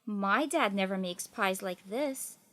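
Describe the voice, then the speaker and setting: Woman reading as a bright, curious young boy. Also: mid-range voice, takes it slowly. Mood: impressed.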